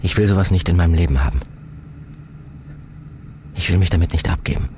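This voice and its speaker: A man plays an embittered police detective in a German radio drama. His voice sits low, with standard German speech. He is blunt, sardonic and unwilling to believe what he is told. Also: fairly quick, background noise, natural voice. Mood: bitter, agitated.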